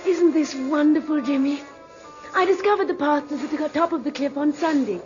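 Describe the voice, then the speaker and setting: An actress in a 1950s radio drama playing an adoring, sentimental young mistress, gushing and emotional. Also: female voice, high-pitched, normal speed, darkish tone, faint music behind, lightly acted. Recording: muffled, noisy vintage radio recording.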